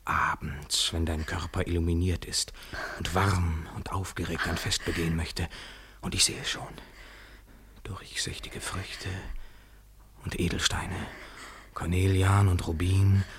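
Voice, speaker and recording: An actor playing an anguished, obsessive lover in a poetic radio play, breathy and intense in theatrical declamation. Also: male voice, low-pitched, level tone, a little slow, fairly bright tone, slight background noise. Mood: hushed, yearning. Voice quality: breathy, husky. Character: obsessive, anguished male lover, swinging from tender to desperate. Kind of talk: literary radio play monologue, poetic and impassioned.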